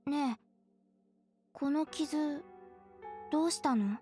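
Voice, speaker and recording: A woman's voice: high-pitched, takes it slowly, dark tone, faint music behind. A woman voicing an innocent, affectionate little boy with a childlike voice in a visual novel. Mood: gentle concern. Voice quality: childlike, faint lisp. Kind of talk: Japanese visual novel voice acting.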